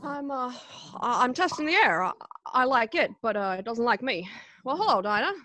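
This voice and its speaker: A woman playing an awkward, smitten male reporter in a radio play, stammering and halting as she speaks. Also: fairly high pitch, sing-song, sharp tone. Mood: flustered, cheerful.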